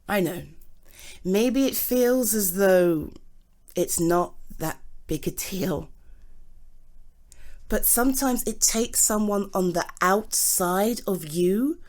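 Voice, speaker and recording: A woman's voice: mid-range voice, darkish tone. A British woman playing a supportive, affectionate girlfriend in an intimate ASMR roleplay, earnest and encouraging. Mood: tender, proud. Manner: encouraging, earnest, emphatic on key words. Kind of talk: ASMR roleplay, intimate one-sided conversation.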